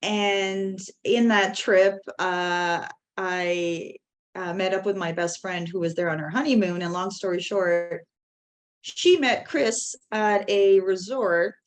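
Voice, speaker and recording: A woman, a podcast guest telling a story in a lively way and often laughing. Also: mid-range voice, slow, slight background noise. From Canada (Toronto). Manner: animated, laughs often, quotes others in dialogue. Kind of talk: casual storytelling.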